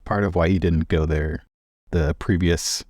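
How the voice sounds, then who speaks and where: A man casually narrating his character's actions as a player in a tabletop role-playing game, pausing between phrases. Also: low-pitched, fairly even intonation, darkish tone, dry recording, clean studio sound.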